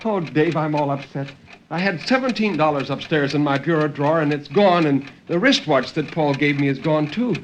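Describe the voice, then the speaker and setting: A man's voice: a little high, moderate pace, voice pushed up, close to an everyday voice. A man voicing an anxious, evasive wife on the telephone, in a 1950s radio reading. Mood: flustered, upset. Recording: noisy 1950s radio recording.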